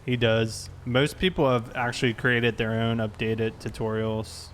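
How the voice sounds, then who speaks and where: A man's voice: mid-range voice, flat delivery, measured pace, a little hiss or hum. A man chatting casually and easily while livestreaming a police roleplay game. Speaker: game streamer.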